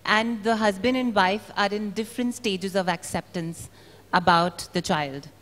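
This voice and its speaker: Woman, mid-pitched voice, dramatic literary reading, book event, reverberant hall. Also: measured pace, fairly bright tone. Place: large indoor event venue. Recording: echoey hall.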